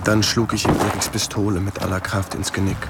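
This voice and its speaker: An actor as a gravelly, haunted man recounting war. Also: fairly low pitch, flat delivery, heavy background noise, natural voice. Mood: grave, tense. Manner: terse, measured storytelling. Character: haunted half-American man recounting a wartime killing. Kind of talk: radio drama first-person narration. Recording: loud music under the voice.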